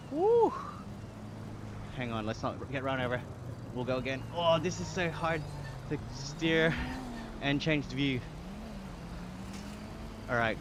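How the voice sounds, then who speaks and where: An upbeat young Australian man talks to his stream viewers while pedalling a workout bike, laughing easily and thanking people by name. His voice sits at an ordinary pitch. Car and street sounds from a game run clearly behind him. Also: noisy background. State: exercising on an indoor bike. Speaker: live streamer. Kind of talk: casual chat reading viewer comments.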